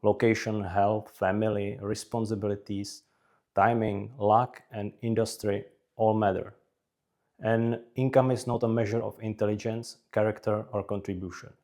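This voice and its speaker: A man from the Czech Republic with a Czech accent, narrating scripted career advice in measured, deliberate short phrases. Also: on the low side, level tone, darkish tone. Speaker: career advice video creator.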